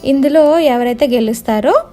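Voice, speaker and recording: Woman as high-voiced merchant setting a test. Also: moderate pace, mellow, faint music behind, natural voice. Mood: instructive. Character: male merchant, testing and praising his servants.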